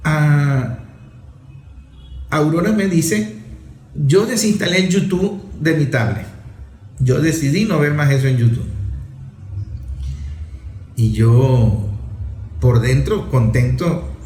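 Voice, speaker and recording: Guy in his 40s, kinda high voice, echoey room, earnest and emphatic. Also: slow pace, long pauses, a little mellow. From Venezuela. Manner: emphatic, repeats and lists for effect. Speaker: father and author speaking on a talk show. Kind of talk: earnest conversational commentary.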